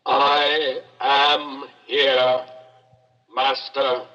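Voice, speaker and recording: An actor in a 1960s radio drama playing an enormous obedient robot with halting, flat, mechanical speech. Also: male voice, medium pitch. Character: enormous slow obedient robot with electronic brain. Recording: lo-fi old radio recording, long reverberation.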